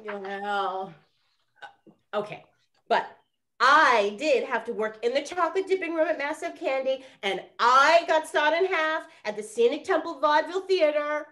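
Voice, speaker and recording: A woman playing a cheeky young 1920s detective in a period drama, teasing her sister with animated, wisecracking delivery. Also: high-pitched, a little slow. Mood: wry, teasing. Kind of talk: scripted period radio drama acting.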